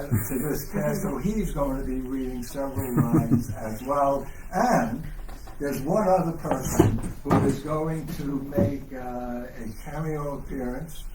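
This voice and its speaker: A man speaking as an author and host, giving an informal, enthusiastic and digressive introduction to a live audience. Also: medium pitch. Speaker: author hosting a live book reading. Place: indoors in a bookstore. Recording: distant mic, noisy echoey room.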